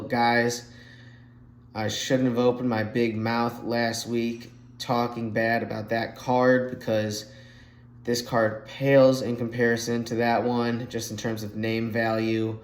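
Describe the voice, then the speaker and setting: A man hosting a solo MMA picks show, talking casually and sounding nasal after being sick, recorded on a phone mic. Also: on the low side. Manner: chatty, fillers, slightly rambling analysis. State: nasal from recent illness. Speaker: MMA podcast host making fight picks. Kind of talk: casual solo fight breakdown. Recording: echoey room on phone mic.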